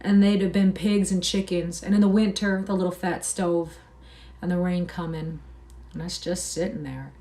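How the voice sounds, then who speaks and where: A woman's voice: on the low side, steady tone, normal speed, warm tone, lightly acted. A woman reading aloud and voicing an old male ranch hand who talks in rural, folksy speech. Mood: bitter grief.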